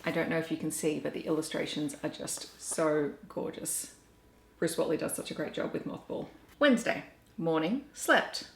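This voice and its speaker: An Australian woman reading children's storybooks aloud in an animated, deliberate storytelling way. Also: low-pitched, gravelly. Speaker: children's story reader and book vlogger. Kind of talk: expressive storybook read-aloud. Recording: roomy, echoey home recording.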